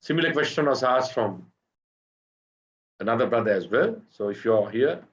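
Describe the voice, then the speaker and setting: A man's voice: medium pitch, lively intonation, moderate pace, highs cut off. A man moderating an online panel, politely reading out questions to the guests. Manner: reads out questions, polite and brief. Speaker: webinar moderator. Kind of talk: moderating a webinar.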